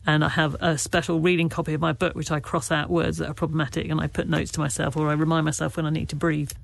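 A man from Wales being interviewed as an author, speaking with a stammer in halting, earnest, reflective stories full of restarts. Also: on the high side, flat delivery, gravelly, no room sound. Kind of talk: candid conversational interview.

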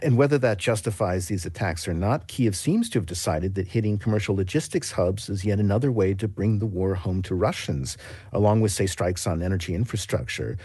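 A man's voice: sits low, animated, a little fast, mellow, slightly rough, no echo. A man with an American accent giving an explanatory radio news report as a foreign correspondent, in a conversational way.

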